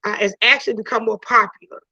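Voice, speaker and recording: A woman's voice: a little high, fairly even intonation, fast talker, vocal fry, no echo. Chatty teacher woman on a video call. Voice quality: loud voice. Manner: chatty, repeats and restarts, many "you know"s. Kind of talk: casual online class talk. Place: home during a video class. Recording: dull lo-fi video call audio.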